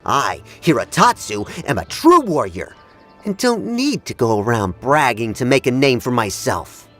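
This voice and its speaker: Haughty young samurai, lively intonation. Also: male voice, medium pitch, measured pace. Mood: proud, dismissive. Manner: haughty, blunt, formal. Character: proud, disdainful young male samurai swordsman. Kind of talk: anime game character acting. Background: background music.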